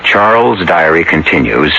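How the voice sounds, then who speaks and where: A man playing an ominous storyteller host in a 1970s radio mystery, with dramatic, deliberate pauses. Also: low-pitched, animated, vocal fry, slight background noise, exaggerated voice. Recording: muffled 1970s radio recording, loud music.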